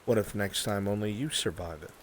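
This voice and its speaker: A man playing a grave mage mentor in a fantasy roleplay, speaking in solemn, measured, weighty phrases. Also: on the low side, slight background noise, lightly acted. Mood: stern. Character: grave male mage mentor warning of magic's dangers. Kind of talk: improvised fantasy roleplay acting. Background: rain.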